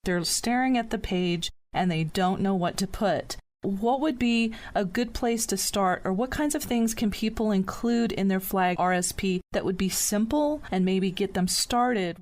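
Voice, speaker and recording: A woman's voice: mid-range voice, a little slow, a little mellow. Female podcast host, mid-range voice, conversational interviewer.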